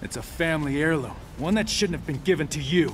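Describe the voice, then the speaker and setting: A man's voice: fairly high pitch, animated, quick pace, a little hiss or hum, lightly acted. A young man playing a defiant, bitter warrior thief in a video game cutscene. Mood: defensive.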